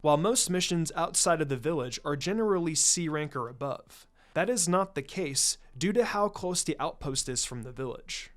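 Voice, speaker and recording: A man's voice: on the high side, animated, moderate pace, few pauses, soft background music. A man reading a story aloud as narrator, in scripted narration. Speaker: fan fiction narrator.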